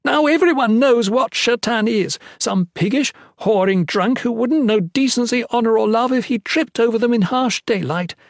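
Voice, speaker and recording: A man voicing a high-born young woman in an audiobook, bitter, imperious and anguished, speaking with theatrical, sarcastic emphasis. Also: sing-song, normal speed, few pauses, a little mellow, no echo, clean studio sound. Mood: anguished rage.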